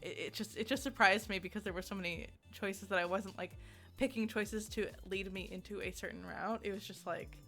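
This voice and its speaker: A woman's voice: medium pitch, fairly even intonation, fairly quick, a bit bright, faint music behind. A woman giving a casual, conversational video game review in an emphatic, informal way, often giggly as she talks.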